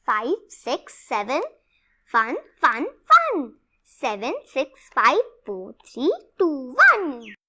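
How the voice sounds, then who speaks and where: A young girl reading a rhyming picture book aloud, expressively and deliberately in short phrases. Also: sits high, big pitch swings, sharp tone. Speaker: read-along presenter.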